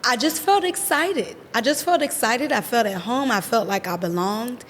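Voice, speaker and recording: An American woman recounts her move abroad with lively, expressive energy. Her voice sits a little high. The sound carries a lingering reverb and a faint background rumble. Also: moderate pace. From USA. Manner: expressive, animated storyteller. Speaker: guest. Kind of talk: personal storytelling interview.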